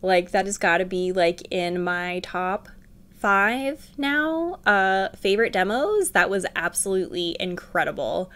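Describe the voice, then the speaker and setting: A young woman streamer hosting a casual game reaction, bubbly and excitable with lots of fillers, at home on a desk mic. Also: medium pitch, unhurried, clean tone.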